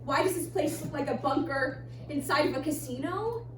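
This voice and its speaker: Stage musical comedy: woman as uppity, exasperated ex-wife, high voice, distant echoey live pickup. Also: normal speed, background noise, lightly acted. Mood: haughty, baffled. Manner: exasperated, snobbish, clipped complaints. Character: haughty, indignant divorced professional woman, thirty-nine. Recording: roomy distant stage recording, loud music.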